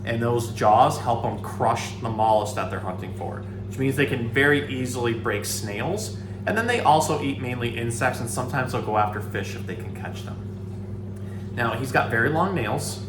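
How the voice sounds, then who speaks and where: A man's voice: mid-range voice, animated, normal speed. Man teaching in an echoey, noisy room. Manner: casual, explanatory, talks to the animal. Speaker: animal educator and storytime host. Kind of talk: informal nature lesson and picture book read-aloud. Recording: echoey room, clear background noise.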